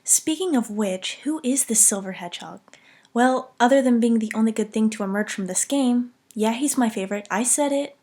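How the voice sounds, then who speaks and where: A woman's voice: fairly high pitch, normal speed, a little mellow. A young woman narrating a scripted, humorous video game commentary in a self-deprecating, mock-dramatic way.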